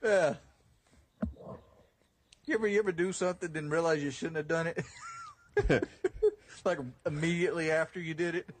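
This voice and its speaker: A man in his twenties with a raspy voice, chatting casually as a podcast host. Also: fairly high pitch, fairly even intonation, normal speed, pauses often, a little hiss or hum. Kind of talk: casual chat.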